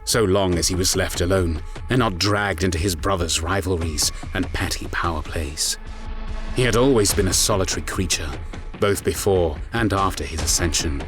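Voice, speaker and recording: A man narrating a scripted story in a grave, measured, dramatic manner. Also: low-pitched. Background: music.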